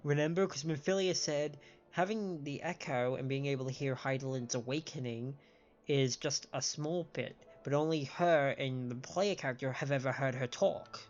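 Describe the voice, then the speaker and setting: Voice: medium pitch, moderate pace, a little mellow. A game streamer giving casual, analytical live commentary and explaining things in a conversational way. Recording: dull lo-fi mic over faint music. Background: faint game music.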